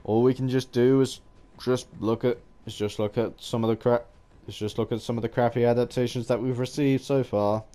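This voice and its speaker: A man giving an unscripted opinion ramble as a gaming vlogger, halting and often restarting his phrases. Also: mid-range voice, fairly even intonation, mellow.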